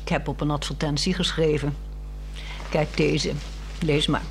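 A woman's voice: sits low, fairly even intonation, unhurried, vocal fry, noisy background. A woman acting a yearning, coaxing wife who speaks frankly in a 1960s radio drama. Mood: confessing, coaxing. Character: restless, yearning wife, coaxing and frank, craving new romance. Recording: 1960s radio play, music behind.

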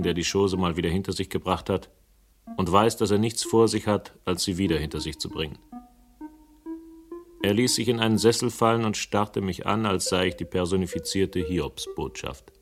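An actor playing a world-weary, laconic first-person narrator in a radio crime drama, with dry irony. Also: male voice, deep voice, moderate pace, close to an everyday voice. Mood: reflective, dry. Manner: laconic, literary, dryly ironic. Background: music.

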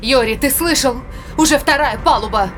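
An actress playing an anxious spaceship crew member in a science fiction audio drama, questioning, doubting and pleading. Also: female voice, high voice, a little fast, music in the background, lightly acted.

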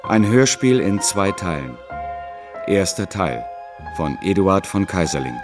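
A man's voice: sits low, big pitch swings, unhurried, over music. A man reading scripted credits as the announcer of a radio drama.

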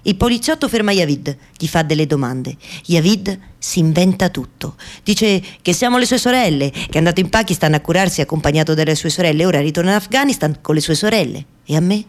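Female actor playing fearful defiant woman activist, radio theatre monologue, lowish pitch, deliberate phrasing, insistent repetition. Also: animated, lightly acted. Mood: wary, bitter. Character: Afghan woman activist returning veiled, fearful yet defiant. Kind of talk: dramatic first-person monologue, radio theatre.

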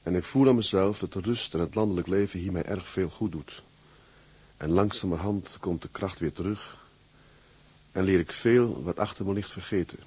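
An actor playing a weary, gruff sea captain in a Dutch radio drama, curt and hesitant. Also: male voice, sits low, moderate pace, a little mellow. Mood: calm, reflective. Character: weary, gruff sea captain, brooding but fond of his crew.

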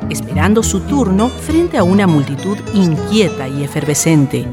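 Woman, Chilean accent, scripted drama narration, slightly low animated voice, long reverb. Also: moderate pace. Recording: long reverb, guitar music behind.

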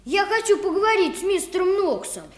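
A woman's voice: very high voice, expressive, fairly quick, roomy sound. A woman voicing a curious, polite little boy in a radio play, with a childlike manner. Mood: eager, curious. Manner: bright-eyed, childlike.

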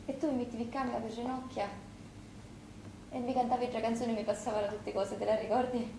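An actress playing an anxious, tender Sicilian sister at a wake in a stage drama, with a Sicilian accent. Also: female voice, on the high side, flat delivery, fast, dark tone, roomy sound, a little hiss or hum, lightly acted, rough recording. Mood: tender, nostalgic. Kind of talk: Sicilian dialect stage theatre acting.

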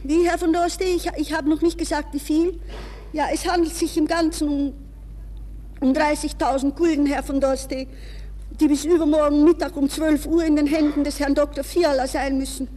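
A woman's voice: high-pitched, level tone, normal speed, dark tone, natural voice. An actress playing an anxious, proud young woman in a nervous, pleading manner, in the style of 1960s radio drama. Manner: breathless, nervous, shifting between polite speech and inner thoughts. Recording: reverberant, hissy 1960s radio recording.

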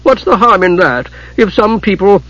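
Scheming god for fantasy audiobook, read by a man: greasy, smooth, wheedling, pitched up and swooping. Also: lively intonation, normal speed, sharp tone, no echo, lo-fi sound. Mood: defensive whining. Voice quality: oily, smooth. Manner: slick, wheedling. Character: greasy, slick, large male god, whining schemer.

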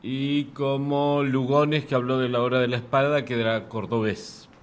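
A man's voice: medium pitch, steady tone, moderate pace. An Argentine man giving a live poetry reading with casual introductions, speaking in a wry, ironic way. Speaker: poet reading own work. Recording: clearly noisy live room recording.

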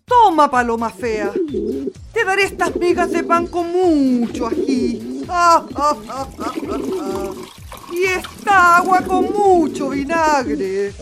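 A woman's voice: sits high, animated, very slow, full character voice. A woman playing a cruel, bullying mother in a radio play, with a menacing, gloating manner. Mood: gloating menace. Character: cruel, wicked, bullying old mother, worst woman in the world. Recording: music behind the voice.

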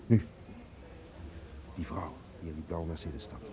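Deep-voiced, wry schemer. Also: male voice, unhurried. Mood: wary, sardonic. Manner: wry, suspicious, conspiratorial. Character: wary, sardonic Russian schemer with gallant manners. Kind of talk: radio drama acting. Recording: radio play with busy crowd ambience.

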